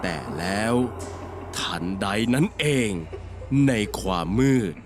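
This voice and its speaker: A man's voice: on the low side, very animated, slow pace, noisy background. A man narrating a scripted ghost story in a dramatic storytelling manner. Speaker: narrator of a horror cartoon series. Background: background music.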